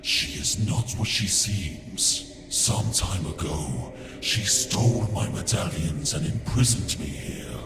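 A man voicing an ancient cursed entity in a video game, solemn and persuasive, with a grave, formal, breathy delivery. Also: deep voice, takes it slowly, few pauses, echoey room, music in the background. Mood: grave, ominous.

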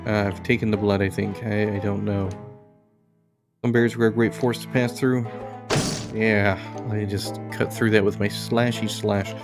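A man's voice: on the low side, level tone, moderate pace. A man giving casual, understated let's play commentary, musing aloud as he plays. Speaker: gaming video host. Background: game music behind the voice.

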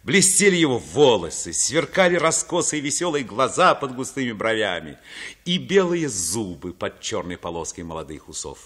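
A man's voice: medium pitch, sing-song, moderate pace, fairly bright tone. An actor playing a grown man who recalls his childhood, narrating a literary radio play in a reflective way.

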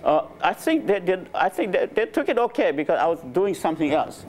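An older man from Nepal, a native Nepali speaker, recounting his life in a relaxed, hesitant way with many fillers, on a lapel mic in a lecture room. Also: high voice, a little fast, a little hiss or hum. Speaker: interviewed scientist. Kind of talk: relaxed conversational storytelling. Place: lecture room with a small audience.

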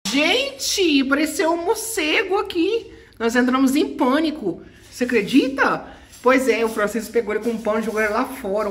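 A middle-aged Brazilian man with a high, creaky voice, chatting casually and expressively in a home vlog. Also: a little hiss or hum. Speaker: home vlogger.

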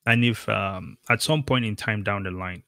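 A man's voice: on the low side, normal speed, vocal fry, bright, forceful tone, no room sound, studio quality. A middle-aged man from Cameroon hosting an interview over a video call, talking casually and emphatically with many fillers. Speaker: podcast host.

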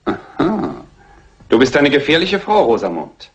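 A man's voice: mid-range voice, huge pitch swings, unhurried. A man playing a suave, prying, short-tempered schemer in a German film dub, insinuating and needling. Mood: insinuating, irritated.

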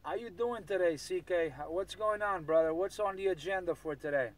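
A man's voice: sits high, brisk. A man making casual CB radio chatter in a slangy, repetitive way. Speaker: CB radio operator. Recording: noisy CB radio, heard from afar.

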